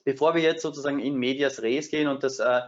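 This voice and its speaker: A man's voice: mid-range voice, steady tone, fast talker, dull audio. Hesitant man moderating a webinar. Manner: frequent filler hesitations.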